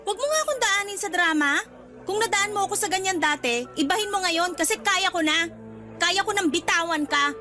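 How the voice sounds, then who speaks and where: A woman's voice: extremely high pitch, expressive, fairly quick. A woman acting a hurt, defiant young woman still in love, confrontational and emotional, in a radio romance drama. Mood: hurt, accusing. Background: background music.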